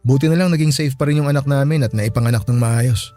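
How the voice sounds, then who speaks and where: Young single father for Filipino radio drama, earnest and courteous, average male pitch, music bed male actor. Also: quick pace, dark tone, close to an everyday voice. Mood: earnest, hurt. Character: polite, earnest young single dad, former flame.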